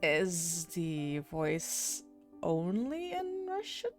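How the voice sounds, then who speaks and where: A woman's voice: lowish voice, huge pitch swings, very slow, soft background music. A woman doing casual let's-play commentary and reading game text aloud, sounding slightly under the weather. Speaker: streamer playing a horror visual novel.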